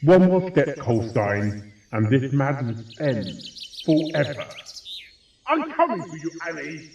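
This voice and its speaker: A man playing a determined, sharp-witted pony detective in an amateur audio drama, reasoning with deliberate emphasis. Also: fairly high pitch, big pitch swings, vocal fry, slight background noise, exaggerated voice. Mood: grim resolve.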